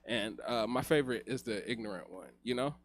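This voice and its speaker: A man's voice: medium pitch, fairly quick, dry recording. A young man from Rwanda doing a stand-up set on a comedy theater stage, rambling with lots of fillers and laughing at his own jokes.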